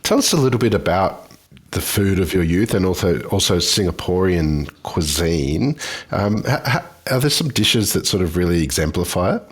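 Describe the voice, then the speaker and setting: A man's voice: low voice, lively intonation, normal speed, breathy edge. A male podcast host interviewing a guest, asking curious, prompting questions.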